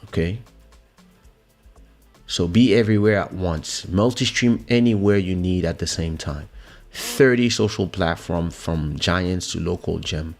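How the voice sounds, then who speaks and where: Male stream host, low gravelly voice, casual and pause-filled, muttering as he thinks aloud. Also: soft background music. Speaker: livestream host and musician. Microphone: close podcast microphone.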